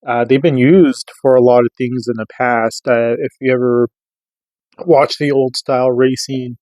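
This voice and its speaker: A man's voice: medium pitch, steady tone, unhurried, darkish tone, no room sound. A middle-aged American man giving a casual product review, explaining things in an informal, rambling way. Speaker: radio reviewer.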